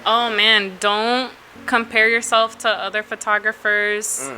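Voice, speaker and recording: A young woman from Florida, a photographer answering interview questions in a relaxed, chatty way with lots of fillers. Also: fairly high pitch, slow pace, a little hiss or hum, low-quality recording. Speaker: photographer guest.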